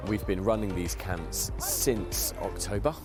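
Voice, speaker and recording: A man's voice: low voice, measured pace. A man talking as head coach of a team in a matter-of-fact, explanatory interview. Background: music.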